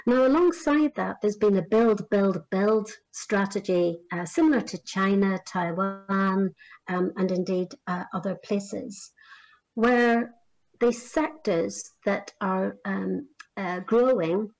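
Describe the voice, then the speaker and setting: A middle-aged woman from Northern Ireland giving a conference address on career guidance, measured and full of small fillers and restarts. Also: medium pitch, creaky, darkish tone, poor audio.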